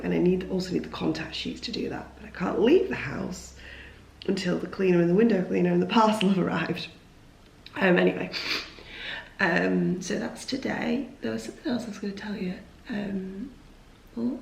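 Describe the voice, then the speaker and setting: A woman's voice: on the low side, creaky, reverberant, slight background noise, poor audio. A young woman who has lost her voice after a cold, chatting casually and rambling to camera from home. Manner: chatty, rambling, self-deprecating. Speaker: vlogger. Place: at home, indoors.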